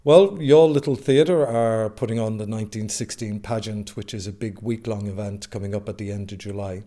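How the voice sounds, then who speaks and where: A man from Dublin speaks about his acting work in a fairly low voice. He talks in a halting way, full of small filler sounds. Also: dark tone. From Dublin, Ireland. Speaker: actor being interviewed. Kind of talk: casual interview.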